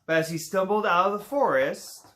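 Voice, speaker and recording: A man reading a children's picture book aloud in a relaxed way, with small slips and playful asides. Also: high-pitched, normal speed. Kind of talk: read-aloud children's story.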